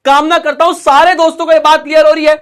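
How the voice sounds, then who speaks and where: A man's voice: very high-pitched, flat delivery, fast. A young man teaching a chemistry class, explaining step by step in a chatty way and often stopping to check that students follow. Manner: chatty, asks checking questions. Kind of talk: online class lecture.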